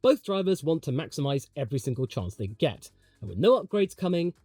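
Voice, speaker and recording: Guy doing opinionated racing video essay, slightly high voice, really swoopy and emphatic, dry close sound. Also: big pitch swings, fairly quick, dark tone, no room sound, soft background music. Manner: emphatic, rhetorical asides and questions. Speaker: motorsport commentary presenter. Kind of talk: opinionated video essay commentary, part scripted, part conversational.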